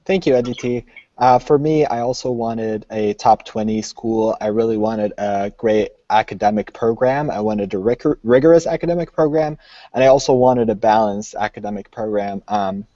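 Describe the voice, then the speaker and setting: Young man from Syria, mellow voice. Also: on the low side, normal speed, no echo. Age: young adult. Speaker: student panelist. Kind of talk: casual panel talk. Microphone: video call. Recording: dry, dull video call audio.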